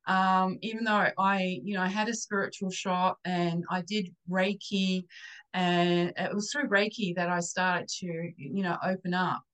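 Australian woman, halting casual interview talk. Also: fairly low pitch, level tone, fairly bright tone, rough recording. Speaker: interview guest, psychic channeler and Reiki therapist.

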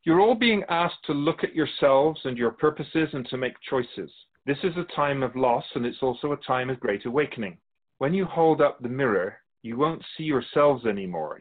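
A man playing a calm, wise, otherworldly keeper of the soul realm in a radio drama, speaking in a measured, gently lecturing way. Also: mid-range voice, lightly acted. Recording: tinny phone-like sound.

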